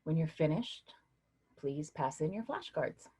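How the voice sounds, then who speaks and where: Brief: actress as a husky, low-voiced woman professor, patient and questioning, lively delivery. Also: a little slow, heavily acted, poor audio. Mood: teacherly. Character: woman college philosophy professor, encouraging and patient. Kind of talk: staged play reading.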